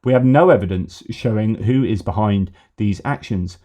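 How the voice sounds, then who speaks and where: Low, mellow-voiced man reading news with asides. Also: moderate pace. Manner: conversational, reads quotes with asides and self-corrections. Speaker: news commentary host. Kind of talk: casual reading and commentary on news articles.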